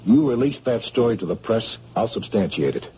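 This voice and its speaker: An actor playing a dogged police sergeant who questions a witness in a brisk, businesslike way, on a dull old radio recording. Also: male voice, on the low side, sing-song, dark tone, full character voice. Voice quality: husky.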